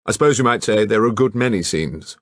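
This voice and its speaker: A man playing a gruff woman painter, pitched somewhat above his own voice. She clips her words short and fast, harsh and blunt, read aloud on a dry close recording. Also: animated, no echo. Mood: curt. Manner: curt and harsh.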